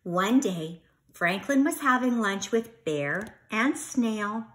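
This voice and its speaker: A woman reads aloud for young children like a teacher, slowly and with lively swings in pitch. Her voice is bright, and she guides listeners with questions and repeated key words. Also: a little hiss or hum. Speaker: reader of a children's book, teacher.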